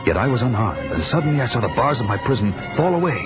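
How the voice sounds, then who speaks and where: An actor voicing a grim young barbarian warrior who narrates in a measured, dramatic way for a 1980s audio drama. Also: male voice, mid-range voice, big pitch swings, over music, lightly acted. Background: loud score under the voice.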